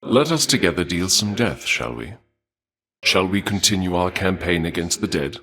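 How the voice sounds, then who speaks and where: Video game war god: low-voiced, suave and bloodthirsty, formal archaic delivery, deliberate and courteously menacing. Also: male voice, lively intonation, fairly bright tone. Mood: eager, conspiratorial. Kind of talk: theatrical video game character dialogue.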